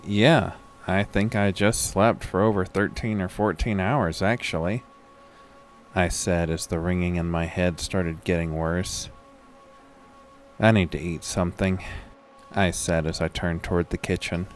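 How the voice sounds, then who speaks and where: An actor playing a sick, groggy man who tells his own horror story in a weary, measured way. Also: deep voice, steady tone, faint music behind. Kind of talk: first-person scripted horror narration with acted dialogue.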